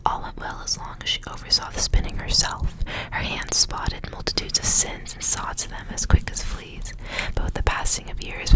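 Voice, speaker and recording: A woman speaks entirely in a whisper, with no full voice behind her words. She is an ASMR host reading stories aloud in a hushed delivery, mixing the narration with casual, conversational asides. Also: poor audio. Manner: whispers throughout, unvoiced and hushed. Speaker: ASMR reader, host of the channel.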